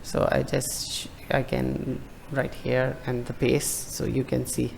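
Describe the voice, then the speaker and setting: A man giving a screen-recorded walkthrough of a form, hesitant and halting with many fillers and restarts. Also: medium pitch, monotone, gravelly, slight background noise, low-quality recording. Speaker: tutorial presenter.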